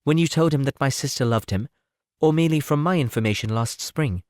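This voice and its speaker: A man voicing a spirited, self-assured young gentlewoman in an audiobook, wry, earnest and articulate. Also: medium pitch, brisk, no room sound, studio quality, pitched above the performer's own voice, natural voice. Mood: playful probing. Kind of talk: audiobook character voice.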